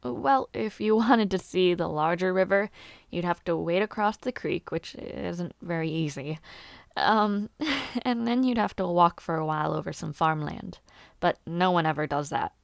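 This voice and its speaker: A woman playing a gentle, shy and eager young noblewoman who aspires to knighthood, chatting softly and politely in an intimate audio roleplay. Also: fairly low pitch, normal speed, vocal fry, no echo, clean studio sound. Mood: cheerful, guiding. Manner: soft-spoken, chatty, polite, slightly bashful, giggly. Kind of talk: intimate audio roleplay, one-sided conversation.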